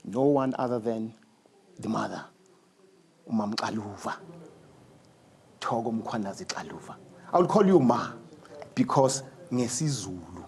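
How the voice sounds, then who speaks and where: A man hosting a studio interview, speaking in slow, emphatic phrases and mixing in Zulu. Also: on the low side, lively intonation, gravelly, a little mellow. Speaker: talk show host.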